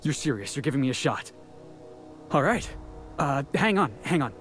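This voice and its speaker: An eager young American drifter from a video game, cocky and earnest. His delivery is very animated, with bravado that often trips over itself. Music plays behind him. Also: mid-range voice, moderate pace. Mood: eager, nervous. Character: eager, cocky young male drifter seeking crew work. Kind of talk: video game character acting.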